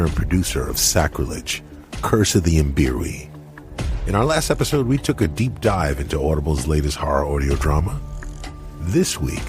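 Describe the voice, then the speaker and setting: A man with a very deep voice co-hosts a podcast, introducing the guest eagerly and asking long, winding questions. Soft music plays faintly underneath. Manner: enthusiastic, long rambling questions. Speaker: podcast co-host. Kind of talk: casual podcast hosting.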